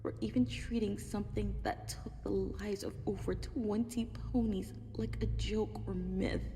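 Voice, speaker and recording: A woman playing a traumatized young adult pony survivor in a horror audio story, narrating gravely with breathy, drawn-out phrasing. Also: medium pitch, fairly even intonation, background noise, lightly acted. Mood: grave, ominous. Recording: long reverb, loud background ambience.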